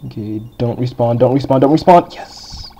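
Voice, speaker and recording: Deep-voiced young man rambling over gameplay. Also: moderate pace, mellow. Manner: chatty, rambling, filler-heavy. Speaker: game walkthrough host. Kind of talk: casual let's-play commentary. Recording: dull lo-fi game recording. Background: faint game music.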